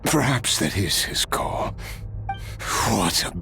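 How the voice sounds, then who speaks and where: A man's voice: lowish voice, sing-song, vocal fry, sharp tone, heavily acted. A man playing a weary, cursed immortal warrior in a video game, grave and formal, with pain and failing strength in his voice. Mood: pained, wistful. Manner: slow, grave, formal delivery. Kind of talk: dramatic video game voice acting. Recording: music under the voice.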